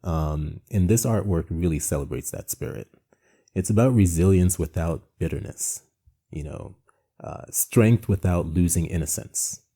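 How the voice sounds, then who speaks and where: A man's voice: low-pitched, measured pace, dark tone. A man from Jamaica hosting an art presentation, talking in a conversational, reflective and earnest way with frequent asides. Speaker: art show host and founder.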